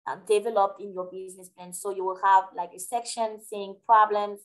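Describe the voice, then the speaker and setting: A woman's voice: mid-range voice, fairly even intonation, fairly quick, rough recording. A woman with a French accent, a native French speaker, answering questions in a live webinar over a video call with many fillers. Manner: explanatory, hesitant fillers, friendly. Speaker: webinar presenter. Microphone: webcam on video call.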